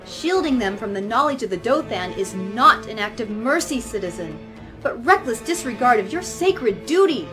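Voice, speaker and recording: A woman performs as a stern female religious leader, zealous and formal. She preaches and commands in audio drama style, with music clearly playing behind her. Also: fairly high pitch, very animated, normal speed. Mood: stern, accusing.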